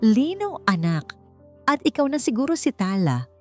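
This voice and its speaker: A woman's voice: on the high side, big pitch swings, normal speed, voice pushed up. A Filipino-accented woman voicing a gracious, affectionate mother in her sixties in a romance story. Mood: warm, welcoming. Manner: gracious, tender, welcoming. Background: background music.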